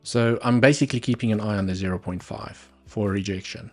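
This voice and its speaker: Low-voiced South African man thinking aloud. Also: a little fast, a little mellow, soft background music. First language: Afrikaans. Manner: thinking aloud, muttering asides. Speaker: livestream trading host. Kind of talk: live market analysis commentary.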